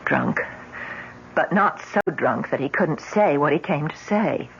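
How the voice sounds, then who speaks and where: Radio drama daughter: troubled, earnest, steadfast. Dull vintage sound. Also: female voice, sits low, animated, a little slow, a little hiss or hum. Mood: tense, earnest. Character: troubled adult daughter Una, anguished but steadfast. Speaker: actress narrating and playing the lead. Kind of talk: old-time radio drama acting. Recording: dull vintage radio recording, music underneath.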